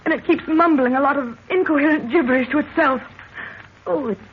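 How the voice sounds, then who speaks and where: A woman's voice: high voice, a little fast, close to an everyday voice. An actress playing a sensitive, fearful young woman, a professor's daughter, with melodramatic 1930s radio delivery. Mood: horrified. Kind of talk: 1930s radio drama acting. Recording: tinny, hissy 1930s radio recording.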